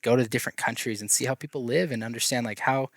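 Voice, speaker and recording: Fast-talking upbeat male podcast host. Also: mid-range voice, a bit bright, no echo, studio quality. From Idaho. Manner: upbeat, eager to agree. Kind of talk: casual interview.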